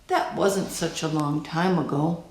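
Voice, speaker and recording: A woman reading a children's picture book aloud in a deliberate, expressive storytelling style. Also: deep voice, echoey room, a little hiss or hum, low-quality recording. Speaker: storybook reader.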